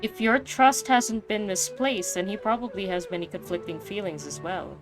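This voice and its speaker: A woman streamer giving casual, slangy, expressive reactions to a game, exclaiming often, with game music behind her. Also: medium pitch, moderate pace.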